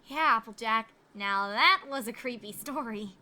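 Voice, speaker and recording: A woman's voice: fairly high pitch, a little slow, bright, forceful tone. A woman voicing a refined, squeamish female pony with a theatrical, prim delivery in a cartoon fan fiction story. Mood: shaken, impressed. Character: refined, squeamish female ponies listening to ghost tales. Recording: faint background music.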